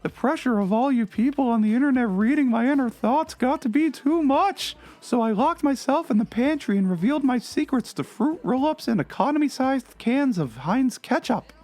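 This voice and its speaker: A woman giving a theatrical, expressive dramatic reading of a confessional journal. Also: high-pitched, moderate pace, hardly any pauses. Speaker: reader in a dramatic reading of a fictional blog. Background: background music.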